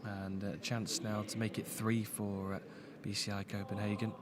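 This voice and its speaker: A man doing live play-by-play commentary on a goalball match, calling the action in quick bursts. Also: fairly low pitch, little pitch movement, noisy background. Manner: calls the action in quick bursts, rising on big moments.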